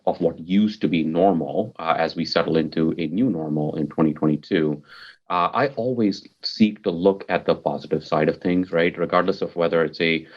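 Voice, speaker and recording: A man's voice: extremely low pitch, lo-fi sound. A man, answering interview questions in an upbeat, earnest way and repeating words for emphasis. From Mumbai, India. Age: 40s. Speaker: podcast guest, technology executive.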